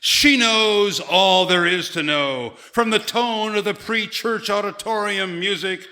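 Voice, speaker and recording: A man's voice: high voice, slow, bright, forceful tone, exaggerated voice. A man playing an eager, folksy church pastor in a live comedy sketch, with grandiose, exaggerated delivery. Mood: eager, puzzled. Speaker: sketch performer and announcer. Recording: live hall with long reverb, music.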